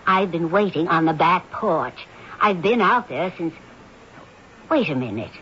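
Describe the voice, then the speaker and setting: An actress playing a bewildered film actress in a radio drama. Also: female voice, on the low side, animated, a little slow, a bit bright. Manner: puzzled, bewildered. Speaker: actress character. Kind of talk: 1970s radio drama acting. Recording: dull old radio broadcast, faint hiss.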